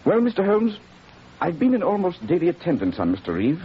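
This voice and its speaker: An actor playing a worried, earnest country physician, speaking formally and a little hesitantly in 1940s radio drama style. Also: male voice, high voice, huge pitch swings, brisk, husky, exaggerated voice. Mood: grave, urgent. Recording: dull, hissy old radio recording.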